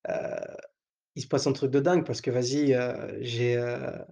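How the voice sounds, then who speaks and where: Gravelly young man, crude joking monologue. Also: mid-range voice, level tone, unhurried, dark tone. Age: young adult. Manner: joking, crude slang, many fillers. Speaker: podcast host. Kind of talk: casual provocative monologue.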